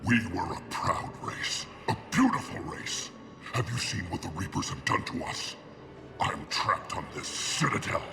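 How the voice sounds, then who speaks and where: A bitter proud alien officer from a game, low gravelly growl, menacing and desperate. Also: male voice. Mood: anguished anger. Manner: menacing, accusing. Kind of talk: dramatic video game acting.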